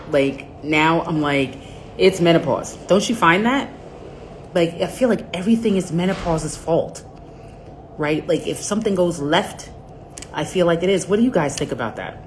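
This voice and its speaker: A low-pitched woman in her forties hosts a live stream with a nasal voice. She chats in a loose, rambling way and greets viewers one by one. A faint ambient hum sits in the background. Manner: chatty, greets viewers by name, rambling asides. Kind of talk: casual live-stream chat.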